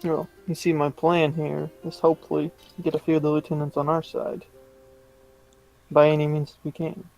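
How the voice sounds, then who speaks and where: A man playing a tabletop roleplaying game, chatting casually in character in a deliberate, understated way. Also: a little high, completely flat delivery, creaky, a little mellow, soft background music.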